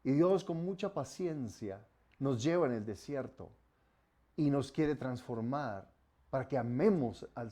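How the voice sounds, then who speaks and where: A man from Colombia, preaching a sermon as pastor, rising on commands and dropping low and soft on solemn warnings.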